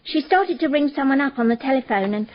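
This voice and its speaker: High-voiced, deferential maid. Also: female voice, animated, fast talker. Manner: respectful, precise, matter-of-fact. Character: deferential female maid answering a detective's questions. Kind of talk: radio drama acting. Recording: dull, muffled radio drama recording.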